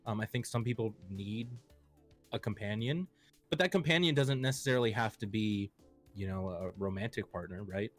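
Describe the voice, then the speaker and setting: A man's voice: lowish voice, moderate pace. A man speaking as a guest on a live stream, giving supportive advice in a hesitant, encouraging way. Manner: hesitant, encouraging, many fillers. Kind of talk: casual supportive chat. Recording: faint music behind.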